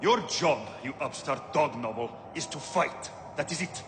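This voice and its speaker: A man's voice: medium pitch, lively intonation, measured pace, natural voice. A man playing a mocking, short-tempered gladiator boss who blusters with sarcasm and scorn in a video game. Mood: curt, scornful. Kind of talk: video game cutscene acting. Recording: very loud arena background noise.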